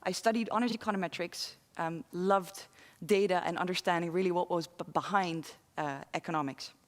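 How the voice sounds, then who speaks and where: A woman in her forties from London and the Netherlands giving a talk to an online audience, pausing often as she speaks. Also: lowish voice. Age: 40s. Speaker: guest speaker. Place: studio with very few people present. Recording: reverberant studio hall.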